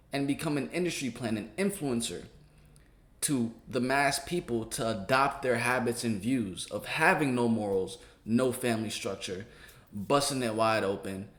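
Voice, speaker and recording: American man with slangy talk, echoey room. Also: mid-range voice. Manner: street slang, conversational. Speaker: host of a reaction channel. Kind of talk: casual commentary reacting to an interview.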